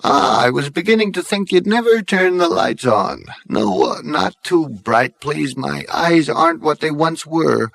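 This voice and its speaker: A man performs an ancient ghostly alien patriarch in a comic space story. He speaks slowly and querulously in a dry close recording. Every phrase carries withering disdain, with expressive swoops. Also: a little high, gravelly, no echo, pitched above the performer's own voice, heavily acted. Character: ancient, withering, ghostly two-headed alien great-grandfather.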